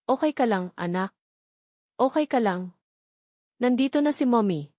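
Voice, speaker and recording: A woman's voice: on the high side, lively intonation, measured pace, warm tone, dry recording, clean studio sound. A woman narrator voicing an anxious, protective mother in a romance drama, with a Filipino accent and urgent, emotional delivery. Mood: anxious relief.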